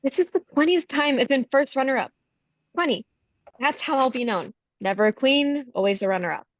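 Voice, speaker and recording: A woman's voice: high voice, a little fast, no room sound, close to an everyday voice. A woman playing a kind, weary pageant runner-up in her twenties at a script table read, slightly muffled sound. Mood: disappointed. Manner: weary, disappointed. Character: kind, disheartened twenty-five-year-old perennial pageant runner-up.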